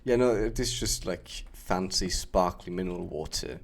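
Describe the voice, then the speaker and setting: A man's voice: sits low, normal speed, gravelly. A young man with a German accent chatting casually and joking as he streams a game. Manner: relaxed, chatty, laughing and joking with a co-player. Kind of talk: casual gaming chat.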